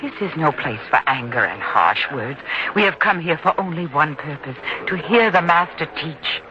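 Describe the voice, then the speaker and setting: A woman's voice: deep voice, animated, normal speed, crowd noise. A woman playing a devout, peacemaking middle-aged mother in an old-time radio drama, with an earnest theatrical delivery. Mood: gently reproving. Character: Sarah, devout gentle peacemaking mother of a farmer. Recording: dull lo-fi radio sound, faint noise.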